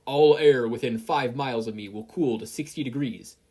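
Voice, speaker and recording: A podfic reader voicing an eager, excitable teenage boy who is training to be a hero. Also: mid-range voice, moderate pace, slightly rough, natural voice. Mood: commanding, urgent.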